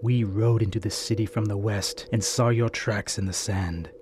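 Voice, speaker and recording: A man playing a coldly courteous, gloating bandit chief in an audio drama, with florid, formal and measured speech. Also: on the low side, fairly even intonation, background noise, natural voice. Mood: proud, assured. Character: gloating bandit chief, scarred former slave trader, coldly courteous villain.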